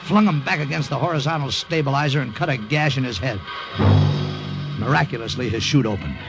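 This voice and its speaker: An actor playing an excitable, slangy American airman who tells his war story in a dramatic old radio thriller style. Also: mid-range voice, lightly acted. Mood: tense recounting. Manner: dramatic, slangy, halting under strain. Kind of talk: 1940s-50s radio drama narration and acting. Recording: old-time radio recording with music.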